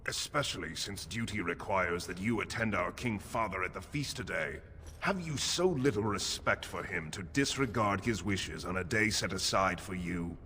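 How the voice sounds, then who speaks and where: An actor playing a domineering dwarf prince and heir in a video game, pompous and commanding, in long hall reverb. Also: male voice, low-pitched, animated, normal speed, pushed and bright, a little hiss or hum. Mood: contemptuous, angry.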